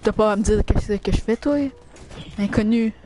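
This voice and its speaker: A woman's voice: medium pitch, little pitch movement, normal speed, mellow, a little hiss or hum. A woman with a Quebec French accent chatting casually while livestreaming a game, slangy and crude in her teasing. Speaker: video game streamer.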